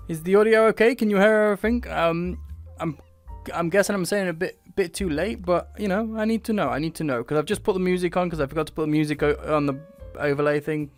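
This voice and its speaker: A chatty British man hosting a casual podcast, his voice sitting a little higher than most men's. He talks loosely and checks in with his listeners as he goes, with soft music playing faintly behind him. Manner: chatty, checks on the stream audio. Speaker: podcast host. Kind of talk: casual chat on a livestreamed podcast.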